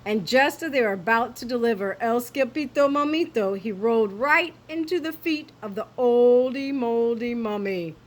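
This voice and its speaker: A woman storyteller reading a children's story aloud with expressive, theatrical emphasis. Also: on the high side, moderate pace, few pauses.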